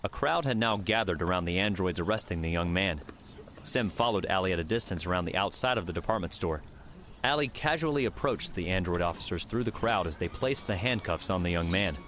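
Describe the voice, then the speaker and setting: Deep-voiced man narrating an audiobook. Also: lively intonation, a little fast, fairly bright tone, slight background noise. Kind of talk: scripted narration.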